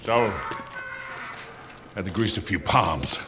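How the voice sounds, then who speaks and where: An actor playing a gruff, roguish older fortune hunter in a video game, with a folksy, grumbling manner. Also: male voice, low voice, takes it slowly, pushed and bright, a little hiss or hum, full character voice. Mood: grumbling, affectionate. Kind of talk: cinematic video game acting.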